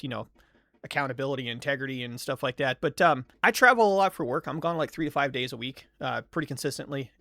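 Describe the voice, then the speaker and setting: A man's voice: a little high, a bit bright, no room sound, clean studio sound. A young man talking candidly to camera in a casual vlog, self-deprecating and rambling, often restarting his sentences. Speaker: vlogger.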